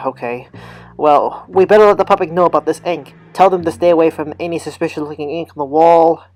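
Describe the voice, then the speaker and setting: A man playing a calm, thoughtful senior police investigator in an amateur audio drama. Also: fairly high pitch, brisk, soft background music, lightly acted, poor audio. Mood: thoughtful, measured.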